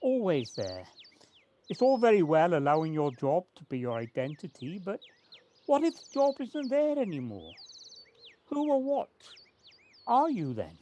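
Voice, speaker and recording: Woman voicing a wistful gardener, lively intonation. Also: on the low side. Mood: wistful, earnest. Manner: earnest, halting, reflective. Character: devoted, wistful gardener, male, called Alan. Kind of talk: amateur audio drama acting. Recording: noisy home personal-device recording with clicks.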